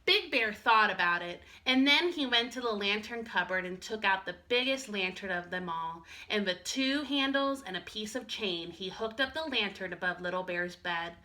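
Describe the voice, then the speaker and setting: A woman teacher reading a picture book aloud to young children, expressive and speaking to them directly. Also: mid-range voice, moderate pace, hardly any pauses. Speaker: first-grade teacher.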